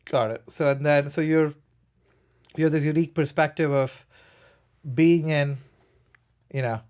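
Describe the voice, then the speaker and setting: A man with a slightly high voice hosts a conversational interview. He asks his questions haltingly, often starting them over and rewording them as he goes. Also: fairly even intonation, darkish tone, clear, resonant tone. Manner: halting, restarts questions repeatedly. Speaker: talk show host.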